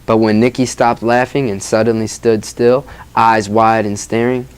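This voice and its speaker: Teacher, medium-pitched voice, reading a picture book aloud with engaging, informal delivery. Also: little pitch movement, normal speed, clear, resonant tone. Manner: engaging, informal, addresses listeners as guys. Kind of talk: expressive read-aloud with questions to young listeners.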